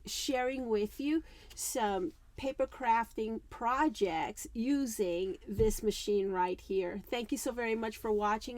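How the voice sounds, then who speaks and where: Slow-talking chatty woman with a tired voice. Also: fairly high pitch. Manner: reads instructions aloud, chatty, many fillers. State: losing her voice. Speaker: paper crafting vlogger. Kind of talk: casual unboxing walkthrough.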